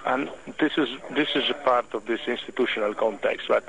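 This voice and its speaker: A man with an Italian accent, a native Italian speaker, answering radio interview questions slowly as he searches for words. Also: mid-range voice, gravelly, noisy background. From Italy. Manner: halting, searching for words in a second language. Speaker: hobby club official, interview guest.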